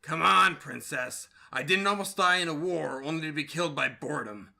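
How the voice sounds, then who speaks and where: A man's voice: a little high, normal speed, creaky, sharp tone, full character voice. A man voicing a bored, sarcastic young pony guard in a fan fiction reading.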